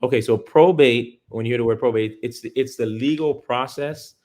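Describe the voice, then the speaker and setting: A man's voice: medium pitch, moderate pace, darkish tone. A man in his thirties from Miami with an American accent, talking as an interview guest and explaining things earnestly, step by step, in casual language. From Miami, United States. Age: 30s.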